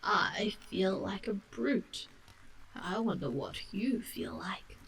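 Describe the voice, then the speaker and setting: A woman's voice: medium pitch, huge pitch swings, takes it slowly, gravelly, a bit bright, far from the mic, slight background noise, exaggerated voice, rough recording. A woman voicing a firm but kind-hearted male water rat, earnest and reasoning, in a storybook. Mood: regretful, earnest.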